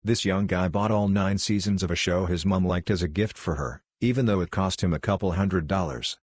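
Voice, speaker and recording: A man reading online forum posts aloud in a plain, even manner. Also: sits low, a little fast, breathy edge, no room sound.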